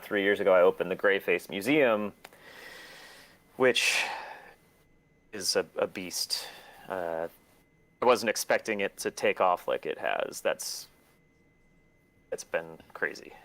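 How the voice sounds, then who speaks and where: A man's voice: on the low side, unhurried, pauses often, fairly bright tone. A man talking casually as an interview guest, rambling in a self-deprecating way and swearing freely. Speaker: interview guest, record label and shop owner.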